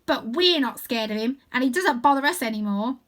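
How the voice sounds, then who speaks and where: A woman's voice: sits high, expressive, fairly quick, a bit bright, voice pushed up. A woman voicing a tough, mean eight-year-old girl who leads a gang in a storybook, bossy and sneering. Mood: defiant.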